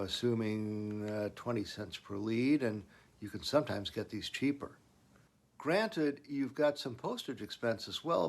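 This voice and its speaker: A man with a medium-pitched voice narrates a business lesson. He follows a semi-scripted explanation in an instructional manner, leaning on the key points as he goes. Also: breathy edge. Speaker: business advice presenter.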